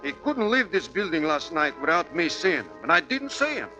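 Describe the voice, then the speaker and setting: A gruff, plain-spoken building night man, his voice up high and swinging widely as he insists. It sounds like a dull old radio transcription from the early fifties. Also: sing-song, brisk, music in the background. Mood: insistent. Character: older male apartment night man, gruff and blunt. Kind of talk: 1950s radio drama acting.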